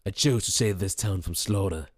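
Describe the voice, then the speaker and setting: Man playing a stern, low-voiced swordsman. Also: normal speed, breathy edge, dry recording, clean studio sound. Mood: grave. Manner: terse, grave. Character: stern, terse male monster-hunting swordsman. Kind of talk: dramatic storybook character acting.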